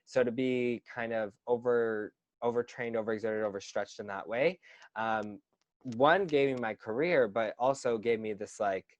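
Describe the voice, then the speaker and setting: A man's voice: medium pitch, moderate pace, no echo, dull audio. Young guy dancer chatting on a video call, earnest and upbeat, lots of "like", dry sound. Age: 20s. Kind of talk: casual interview.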